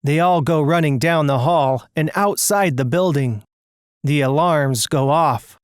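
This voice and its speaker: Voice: mid-range voice, unhurried, clear, resonant tone, dry recording, clean studio sound. A narrator reading a scripted children's mystery story.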